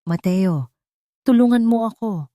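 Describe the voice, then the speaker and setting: A woman's voice: mid-range voice, unhurried, dark tone, no echo, pitched above the performer's own voice, natural voice. A woman voicing a frightened, desperate young wife in story dialogue, fragile and pleading.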